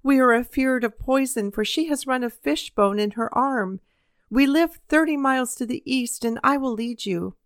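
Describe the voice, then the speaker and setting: A woman's voice: high voice, moderate pace, no room sound, clean studio sound, pitched above the performer's own voice, close to an everyday voice. A woman voicing a poor twelve-year-old boy who earnestly pleads for help. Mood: pleading.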